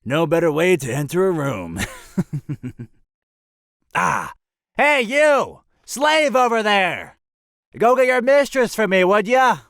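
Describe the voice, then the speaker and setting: A roguish male mercenary acted by a man for an audio drama, flippant and full of swagger. His delivery is highly animated and swoops around in pitch, recorded close and dry with no room sound. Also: slow pace, creaky, long pauses, dry recording, exaggerated voice. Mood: cocky, playful. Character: roguish, cocky, theatrical male mercenary leader.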